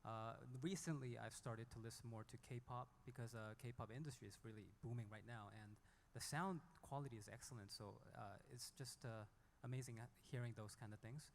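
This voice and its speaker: A man from South Korea, an indie musician, speaking off the cuff and haltingly on a panel. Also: mid-range voice, a little hiss or hum. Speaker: indie singer-songwriter on a panel. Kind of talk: unscripted panel talk. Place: hall at a music conference panel. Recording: echoey hall with long reverb.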